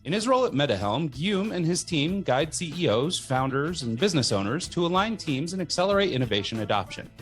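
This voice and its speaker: A middle-aged man hosting a podcast, reading a cheerful scripted introduction and then chatting casually with quick laughs. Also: on the high side, big pitch swings, a bit bright, soft background music. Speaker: podcast host.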